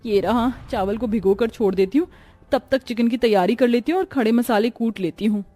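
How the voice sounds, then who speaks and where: A woman voicing a young, gentle but anxious bride in a cartoon story, polite and a little flustered. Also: fairly high pitch, brisk, soft background music, close to an everyday voice.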